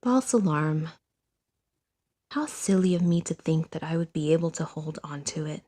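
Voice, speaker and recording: Husky, mellow woman narrating fiction. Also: deep voice, expressive. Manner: measured, storytelling delivery. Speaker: audio drama narrator.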